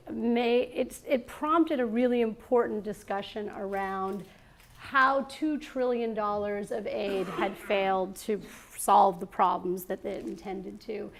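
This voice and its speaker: A woman hosting a live salon before an audience, introducing her guest and opening the interview in a chatty, halting way with many fillers and restarts. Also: on the high side. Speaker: salon host and moderator. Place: event room with an audience.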